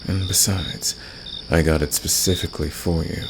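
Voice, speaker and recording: A man playing a confident, flirtatious and secretly protective fantasy villain in an ASMR romance roleplay, gravelly and intimate, with a teasing, smug manner. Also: steady tone, moderate pace, lightly acted. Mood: smug, seductive. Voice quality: very deep, gravelly. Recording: clear background noise, night cricket ambience.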